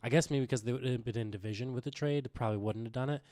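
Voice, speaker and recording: A young man co-hosting a casual sports podcast, slightly raspy from recent illness, rambling with lots of fillers and restarts. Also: medium pitch, very fast, mellow, no room sound, studio quality. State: recovering from illness, a bit raspy. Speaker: podcast co-host.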